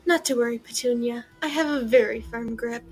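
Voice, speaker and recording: A domineering middle-aged aunt, acted by a woman, with a notably high voice and a spiteful, haughty manner. It is audio play acting with music underneath. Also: brisk, natural voice. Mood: smug contempt. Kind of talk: amateur audio drama acting.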